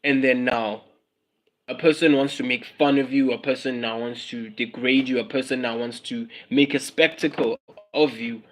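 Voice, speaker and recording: A man's voice: mid-range voice, fairly even intonation, normal speed. A South African man from South Africa hosting a casual livestream, musing aloud, repeating his phrases and dropping in Zulu words. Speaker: livestream host.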